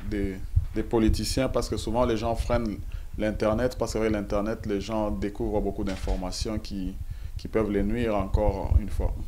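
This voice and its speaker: A man interviewing in a conversational, halting way, asking leading questions. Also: on the low side, little pitch movement, mellow. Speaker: interviewer, journalist. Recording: clearly noisy background.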